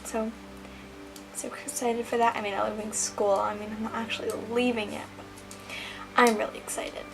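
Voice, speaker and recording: A woman's voice: on the high side, flat delivery, normal speed, gravelly, music in the background, poor audio. A teenage girl vlogging casually from home, chatty, with lots of ums and likes. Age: teens. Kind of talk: casual vlog diary.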